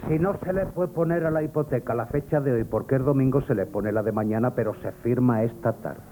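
A man acts a gruff, resolute Madrid schemer with a Spanish accent. His voice is mid-pitched and mellow-dark. It is vintage radio drama with light background noise. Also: fairly quick, close to an everyday voice. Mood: brusque. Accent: Spanish, from Spain. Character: gruff, determined working-class Madrid man, besotted conspirator. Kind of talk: 1970s Spanish radio theatre acting. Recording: noisy old radio broadcast.

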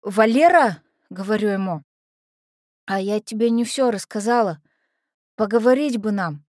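A woman's voice: medium pitch, moderate pace, a little mellow, no echo, clean studio sound, close to an everyday voice. A woman playing a brash, lovestruck teenage orphanage girl, telling her story in a dramatic monologue with slangy, naive, confiding speech. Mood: nervous, smitten. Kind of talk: dramatic first-person monologue.

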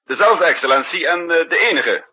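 A polite earnest officer in an old radio play, super husky, muffled recording. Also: male voice, a little high, animated, brisk. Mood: respectful, wry. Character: respectful, earnest male intelligence officer, modest and wry. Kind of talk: 1960s Dutch radio drama, narrating and acting.

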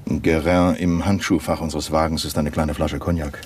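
An actor playing a gruff middle-aged police commissioner in a 1970s radio drama, brusque and commanding. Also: male voice, very deep voice, level tone, moderate pace, darkish tone, slight background noise.

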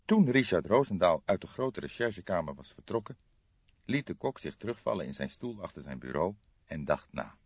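A man's voice: low-pitched, sing-song, a little fast, breathy edge, no room sound, lo-fi sound. A man reading a crime audiobook as narrator, in a dramatic scripted style, close to the microphone.

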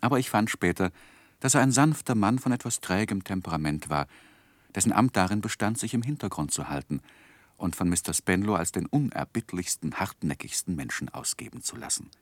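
An actor playing an earnest, polite young gentleman who tells his life story in a 1960s radio drama, with measured literary delivery. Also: low-pitched, lively intonation, dry recording. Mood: reflective, narrating.

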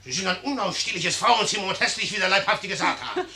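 An actor playing a young, proud, hot-tempered general in a theatrical 1950s German radio play, sardonic and imperious in manner. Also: male voice, high voice, quick pace, sharp tone, exaggerated voice. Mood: furious.

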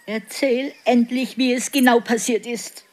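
A woman playing a sentimental, superstitious village neighbour in a radio drama, speaking in a plaintive, drawn-out way. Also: on the high side, steady tone, close to an everyday voice. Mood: mournful.

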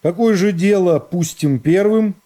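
Man playing an affable, mellow court judge. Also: high voice, a little slow, pitched above the performer's own voice. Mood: affable, brisk. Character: affable, brisk male court presiding judge.

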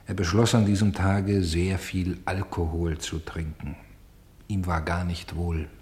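An actor playing a grave, sorrowful storyteller in a radio play, with solemn and deliberate phrasing. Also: male voice, low-pitched. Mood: somber. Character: grave, sorrowful male storyteller bearing witness to tragedy.

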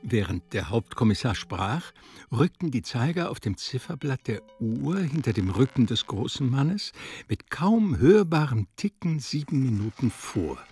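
Slow, expressive male literary narrator. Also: medium pitch, husky, faint music behind. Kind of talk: literary radio drama narration.

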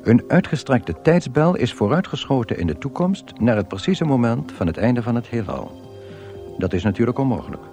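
A man playing a deadpan, measured narrator of cosmic absurdities in a science-fiction comedy radio play. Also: mid-range voice, lightly acted.

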